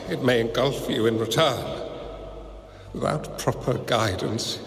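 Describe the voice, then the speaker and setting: A man playing a wise, solemn old mentor in a game cutscene, speaking in a grave, measured way. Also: mid-range voice, fairly bright tone. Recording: roomy reverb with game music behind.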